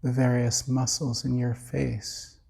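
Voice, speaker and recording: A man with a slightly creaky voice guiding a body scan meditation in a measured, soothing way with long pauses. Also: medium pitch, level tone, a little mellow. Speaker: meditation teacher.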